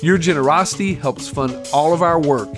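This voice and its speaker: Male podcast host, slightly high register, scripted credit read, light guitar bed. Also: normal speed. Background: guitar music.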